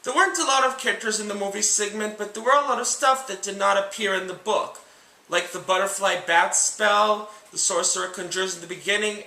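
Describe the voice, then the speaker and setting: A man narrating a scripted book and film comparison as host, speaking in a deliberate, enunciated, presentational way. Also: high voice, bright, forceful tone. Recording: echoey room sound.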